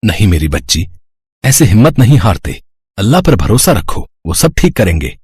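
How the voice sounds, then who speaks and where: A man as a humble, devout village dad in a cartoon moral tale. He sounds low and husky, with expressive, wide-ranging intonation and an earnest, tender manner. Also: normal speed, dry recording, exaggerated voice. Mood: consoling. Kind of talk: animated Hindi moral story acting.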